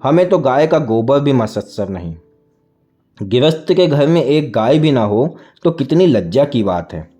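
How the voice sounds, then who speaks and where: A man's voice: mid-range voice, big pitch swings, measured pace, mellow, soft background music. A man voicing a wily middle-aged village farmer in a storybook, ingratiating and folksy in his rustic speech. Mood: flattering.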